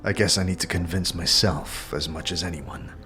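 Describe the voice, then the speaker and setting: A man's voice: low voice, fairly even intonation, normal speed, bright, forceful tone, faint music behind. A man playing a weary, doubting wanderer with a plain-spoken, resigned manner in a fantasy audio drama. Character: weary, doubting male wanderer seeking an end.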